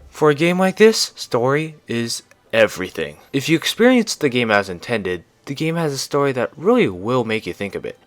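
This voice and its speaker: A man's voice: mid-range voice, very animated, moderate pace, few pauses. A man reading a scripted video review in an informal, opinionated way. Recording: music behind the voice. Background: background music.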